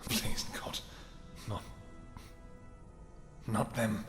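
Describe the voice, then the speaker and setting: Cavernous reverb, music underneath, male actor as possessive vampire king, formal archaic phrasing, theatrical fantasy roleplay. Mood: disbelieving grief. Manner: theatrical, archaic, formal speech with halting pauses. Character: regal, possessive vampire king, anguished and vengeful lover. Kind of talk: dramatic fantasy audio roleplay acting. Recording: echoey hall reverb with music.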